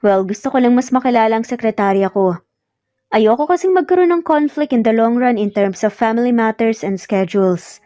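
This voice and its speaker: A woman's voice: fairly high pitch, lively intonation, brisk, warm tone, dry recording, studio quality, natural voice. A Filipino woman voicing a smitten, brooding young businessman in a romance story, feigning composure and mixing in English. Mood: feigned cool, probing. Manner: code-switches between Filipino and English.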